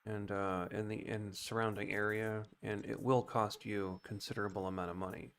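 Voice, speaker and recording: A man streaming a video game, explaining things in a casual, rambling, unhurried way. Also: fairly low pitch, little pitch movement, vocal fry, clear, resonant tone. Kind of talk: casual gameplay commentary. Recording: faint background noise.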